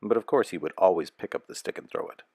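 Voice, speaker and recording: A man narrating a children's picture book aloud as a storyteller, with a measured, expressive delivery. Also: low-pitched, no echo, clean studio sound.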